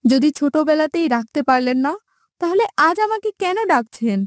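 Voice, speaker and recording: A woman's voice: high voice, lively intonation, normal speed. A woman voicing a hurt young girl in a fairytale cartoon, pleading and earnest. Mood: hurt, questioning. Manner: plaintive, earnest. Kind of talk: melodramatic fairytale cartoon acting.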